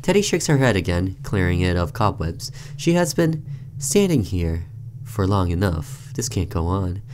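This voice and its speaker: A man's voice: low-pitched, sing-song, normal speed, darkish tone, slight background noise. A man hosting a gaming video, reading a visual novel aloud and commenting casually.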